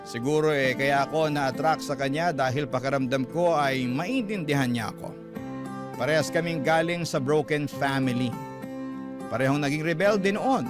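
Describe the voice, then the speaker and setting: A man's voice: fairly high pitch, normal speed, natural voice. A man narrating a confessional letter as a young man looking back on a troubled relationship. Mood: reflective. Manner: confessional, reflective. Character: young man recounting his love life. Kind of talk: radio drama letter narration. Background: background music.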